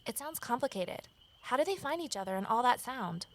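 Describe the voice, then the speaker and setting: An actress playing a wary, determined amateur sleuth in a naturalistic audio drama, conversational and gently wry. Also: female voice, fairly high pitch, lively intonation, a little fast. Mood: inquisitive, wry. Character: Evelyn, wary determined former journalist turned amateur sleuth. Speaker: lead character and first-person narrator.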